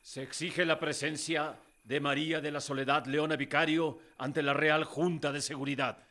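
Stern royal officer, colonial era, forceful tone, declamatory, officious, radio drama. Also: male voice, fairly high pitch, flat delivery, normal speed.

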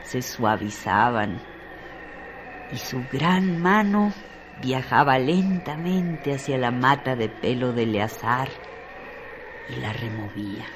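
A man narrating a literary radio drama with deliberate, theatrical phrasing. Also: medium pitch, noisy background.

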